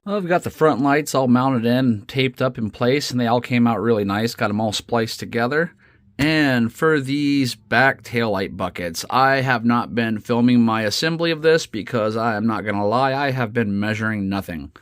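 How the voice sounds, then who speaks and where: Male hobby vlogger, congested and slightly nasal, relaxed chatty delivery, dry phone audio. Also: mid-range voice, no room sound. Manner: relaxed, chatty, with fillers and restarts. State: congested with a head cold. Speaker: RC hobby channel host. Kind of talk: casual how-to build commentary. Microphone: phone camera.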